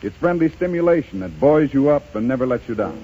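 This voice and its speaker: A man working as a radio announcer, delivering a scripted 1930s sponsor pitch. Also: very animated, brisk. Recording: muffled, noisy 1930s radio broadcast.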